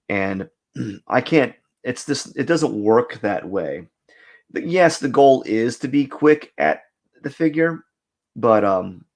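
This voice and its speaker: A man with a raspy, gravelly voice talks on a live art stream as he sketches. He rambles, trips over his words and starts lines again, in the easy tone of someone drawing and chatting at once. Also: on the low side. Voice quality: raspy, cracky voice. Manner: rambling, self-correcting, thinks aloud. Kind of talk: casual live stream chat while drawing.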